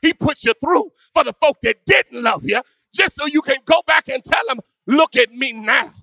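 A pastor preaching a sermon with call-and-response, swinging from hushed musing to shouted, sung climaxes. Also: male voice, very high-pitched, expressive, normal speed, vocal fry, no echo. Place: church.

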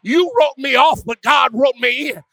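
A pastor preaching a sermon with call-and-response, swinging from hushed musing to shouted, sung climaxes. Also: male voice, very high voice, moderate pace, vocal fry, dry recording. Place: church.

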